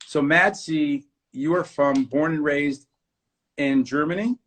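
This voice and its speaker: A man's voice: fairly high pitch, takes it slowly, fairly bright tone. A man hosting an interview, talking enthusiastically and heaping praise on his guest. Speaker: host. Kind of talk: casual interview.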